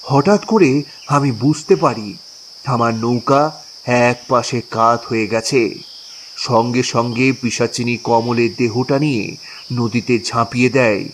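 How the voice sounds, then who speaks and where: A man playing a greedy, sly and cowardly village boatman in a horror audio story, repeating words haltingly. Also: mid-range voice, lightly acted. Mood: uneasy recounting. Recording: clear noise with chirping crickets.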